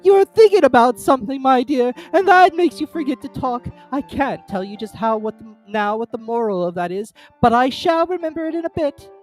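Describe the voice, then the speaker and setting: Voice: sits high, animated, brisk, few pauses, soft background music, pitched above the performer's own voice, poor audio. A reader voicing an ugly, fawning old duchess who turns everything into a moral, in a gushing, sing-song tone.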